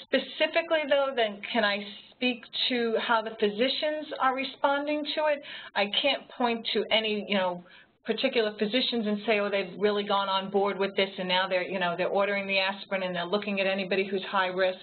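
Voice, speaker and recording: A woman answers questions as a panelist, informally and a little haltingly, restating her points. Her medium-pitched voice comes over a narrow phone line with faint noise. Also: steady tone. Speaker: panelist, home health professional.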